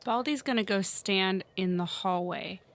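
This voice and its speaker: A woman's voice: mid-range voice, unhurried. A woman playing a tabletop game, narrating her character's actions in the third person.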